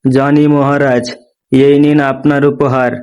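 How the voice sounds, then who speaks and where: A man voicing a wise, brave young woodcutter's daughter, calm and earnest, in a cartoon. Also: mid-range voice, a little slow, clear, resonant tone, dull audio, natural voice. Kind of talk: moral story cartoon dialogue.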